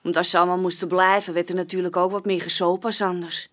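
Plain-spoken young barmaid played by an actress. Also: female voice, lowish voice, little pitch movement, quick pace, a bit bright, lightly acted. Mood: chatty, forthcoming. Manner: informal, chatty, colloquial. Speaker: witness, café barmaid. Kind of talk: naturalistic radio play dialogue.

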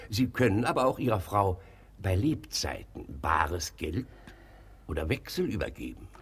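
An actor playing a smooth, sly notary, formal and insinuating, in a German radio comedy. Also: male voice, low voice, sing-song, moderate pace, exaggerated voice. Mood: conspiratorial. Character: smooth, sly, obliging notary bending the law. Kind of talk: German radio comedy acting of a classic play.

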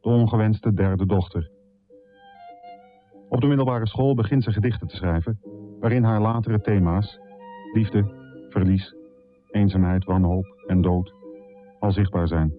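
Low male narrator, narrow radio sound. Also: fairly even intonation, unhurried, long pauses. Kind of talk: scripted documentary-style narration. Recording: narrow-band old radio recording. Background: faint instrumental music.

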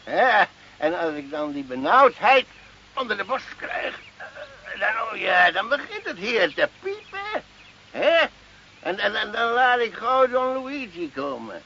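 A man's voice: high-pitched, big pitch swings, measured pace, pushed and bright, full character voice. An actor playing a sly, rambling old male goatherd in a radio drama, stammering, repeating himself and chuckling. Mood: plaintive, crafty. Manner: stammering, repetitive, frequent chuckling and questioning tags. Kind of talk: Dutch radio drama acting. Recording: muffled old radio recording, faint noise.

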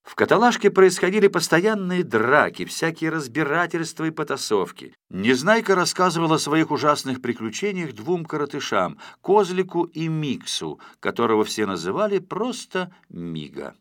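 A man giving an expressive scripted narration of a children's audio story. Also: a little high, moderate pace, few pauses, no echo, studio quality.

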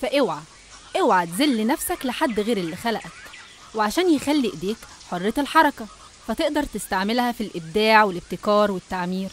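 A woman's voice: medium pitch, animated, quick pace, a little hiss or hum. A woman with an Egyptian accent narrating a scripted religious story in a didactic way, speaking straight to the listener. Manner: didactic, addressing the listener directly.

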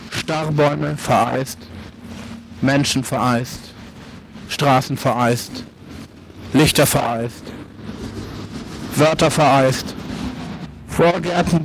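Male, creaky voice, unhurried incantatory poetry read as repeated lists, faint background noise. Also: medium pitch, rough recording. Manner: slow, repetitive, list-like delivery. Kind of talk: incantatory spoken-word poetry for radio.

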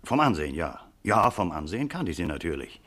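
An actor playing an eager, hesitant taxi driver witness in a 1950s radio crime drama, chatty and hemming. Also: male voice, low voice, very animated, fairly bright tone, husky, exaggerated voice. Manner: halting, hemming, chatty, small nervous chuckles.

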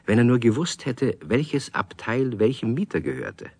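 A man's voice: mid-range voice, expressive, moderate pace, darkish tone, husky. A man narrating a children's radio play as a scripted storyteller. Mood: storytelling.

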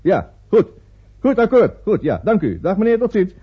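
Slick, husky-voiced grasping estate agent. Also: male voice, high-pitched, huge pitch swings, moderate pace, full character voice. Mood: slick, haggling. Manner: slick, hedging, one-sided phone patter. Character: grasping, sly estate agent feigning reluctance. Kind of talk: radio play acting. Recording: dull, muffled old radio recording.

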